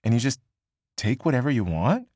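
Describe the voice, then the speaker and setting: A man's voice: medium pitch, very animated, moderate pace, warm tone, husky, dry recording. Audiobook: man playing a hesitant, easily flustered young woman, dry close read. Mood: amazed. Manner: hesitant, questioning. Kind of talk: audiobook character voice.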